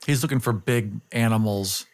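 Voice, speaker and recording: A man's voice: lowish voice, normal speed. A man playing a tabletop game, chatting casually in a wry, joking way.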